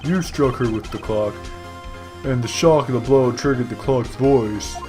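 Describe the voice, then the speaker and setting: A man plays a young game defense attorney in a mid-pitched voice. He is earnest and accusing, declaiming each point insistently while music plays behind him. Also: measured pace, mellow, close to an everyday voice. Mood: triumphant. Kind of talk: hobby video game dub.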